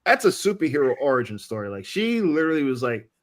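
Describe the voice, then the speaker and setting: A man's voice: mid-range voice, very animated, fast. A man talking casually in a group podcast discussion, slangy and enthusiastic. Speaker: podcast panellist.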